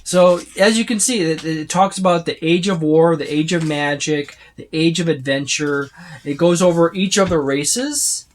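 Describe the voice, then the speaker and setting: A man's voice: fairly high pitch, moderate pace. A man giving a casual, unscripted walkthrough of a book, rambling and often listing items one by one. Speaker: tabletop gaming reviewer.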